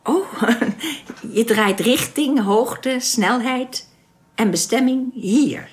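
A woman's voice: a little high, extremely slow, husky, no room sound, heavily acted. A woman playing a friendly, self-assured young alien spacewoman in a radio drama, polite and easily amused. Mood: amused, helpful.

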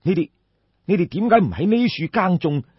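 A man's voice: high voice, very animated, normal speed, breathy edge, no room sound, voice pushed up, full character voice. A man voicing a compassionate scholarly official in an audiobook story, courteous, earnest and concerned. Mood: startled, concerned. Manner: earnest questioning, polite address. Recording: dull, muffled lo-fi audio.

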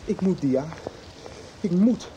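An actor playing a haunted, driven teenage boy in a radio soap, speaking haltingly and intensely, with rain behind him. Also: high voice, lively intonation, dark tone, highs cut off, a little hiss or hum. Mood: anxious, resolute. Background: rain, music.

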